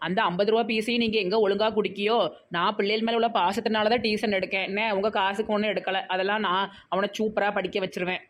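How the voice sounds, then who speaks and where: A woman's voice: medium pitch, steady tone, fast, close to an everyday voice. A woman with a Tirunelveli Tamil accent voicing a boastful, dramatic young newly married village woman in a comedy cartoon. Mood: boastful, chatty. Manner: whiny, pleading, melodramatic.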